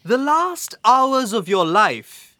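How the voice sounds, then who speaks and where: A man's voice: extremely high pitch, sing-song, slow pace, bright, forceful tone, dry recording, clean studio sound, full character voice. A man playing a stern, scornful young camp guard in an audio drama, with a formal, curt, intense manner. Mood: scornful, incredulous.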